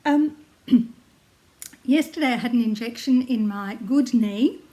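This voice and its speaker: An older woman with an Australian accent talks in a friendly, rambling way, pausing on ums and laughing softly now and then. Her voice sits a little high and sounds a touch croaky today. She is recorded close up on a tablet in her living room. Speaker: knitting vlogger. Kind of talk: casual chat to camera. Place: at home in her lounge room, seated. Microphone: tablet camera close by.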